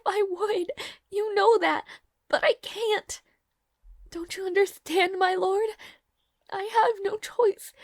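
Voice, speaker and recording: Woman acting a high-pitched, anguished young maid. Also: no echo, studio quality, exaggerated voice. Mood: tearful, desperate. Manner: halting, stammering, breathy pleading. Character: young maid, timid, devoted, anguished, dutiful daughter. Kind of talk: dramatic audio roleplay acting.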